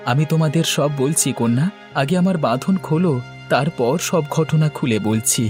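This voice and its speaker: A man's voice: fairly high pitch, normal speed, a little mellow, faint music behind, lightly acted. A man voicing a courteous young prince in a cartoon, speaking in an earnest, formal way. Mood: earnest, grateful.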